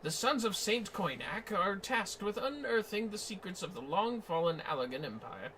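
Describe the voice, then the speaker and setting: A man voicing a learned, formal expedition leader from a fantasy game, with pompous, deliberate, ornate delivery. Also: high-pitched, sing-song, bright, forceful tone, slight background noise, heavily acted, poor audio. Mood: solemn, expository. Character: learned, formal archaeologist leading an expedition, grandly wordy. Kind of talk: dramatic reading of fantasy game dialogue.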